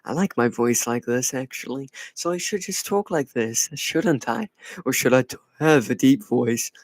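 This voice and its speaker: A man hosting a casual livestream, playfully teasing and answering his chat in a soft, put-on voice. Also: medium pitch, moderate pace, dry recording, studio quality. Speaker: livestream host. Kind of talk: casual live chat with viewers.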